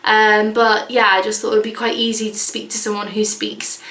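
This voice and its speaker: A woman's voice: medium pitch, flat delivery, normal speed, fairly bright tone, echoey room. A woman, chatting casually in a vlog with lots of fillers and "like"s. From Wales. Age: 20s. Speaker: vlogger. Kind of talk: casual chat.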